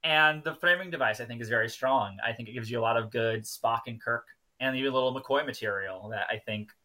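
Bright-voiced man co-hosting a podcast. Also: medium pitch, a little fast. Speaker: podcast co-host. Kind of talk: casual chat and episode recap.

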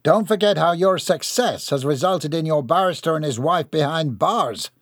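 A man's voice: fairly high pitch, lively intonation, moderate pace, no room sound, clean studio sound, voice pushed up. A man voicing a blunt, protective husband in a read-aloud story, speaking firmly and plainly.

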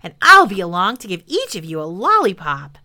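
A woman's voice: mid-range voice, huge pitch swings, fairly quick, pushed and bright, exaggerated voice. Woman doing a polite clever young adventurer. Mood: coaxing.

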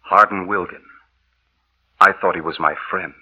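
Intense, husky radio-drama inventor. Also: male voice, low voice, steady tone, unhurried, sharp tone, highs cut off, heavily acted. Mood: bitter, haunted. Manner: dramatic, earnest, emphatic. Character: trusting, eager male inventor, now a bitter dead narrator. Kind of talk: 1940s radio horror drama acting. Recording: old 1930s-40s radio broadcast recording.

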